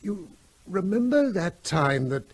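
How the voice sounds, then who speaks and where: An actor playing an earnest, matter-of-fact small-town vet, explaining things in a measured way. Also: male voice, sits high, big pitch swings, slightly rough, heavily acted. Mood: explaining, concerned. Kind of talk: radio drama acting.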